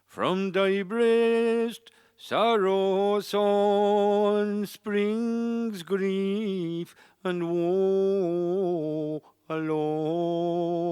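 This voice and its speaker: Slow, clear woman, mournful lament. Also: mid-range voice, warm tone, dry recording, clean studio sound. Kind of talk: slow, lyrical rendition of an old patriotic lament.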